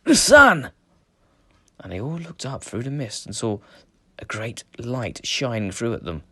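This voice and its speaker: Male storyteller, medium pitch, dramatic expressive narration, children's tale, close dry sound. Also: normal speed, husky, no echo. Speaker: storyteller reading aloud.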